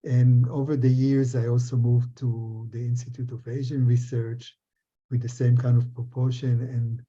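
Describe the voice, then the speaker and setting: An older man from Israel giving reflective interview answers in a halting way, often repeating words and restarting. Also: medium pitch, little pitch movement, mellow. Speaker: interviewee, business professor. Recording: dull, muffled lo-fi sound.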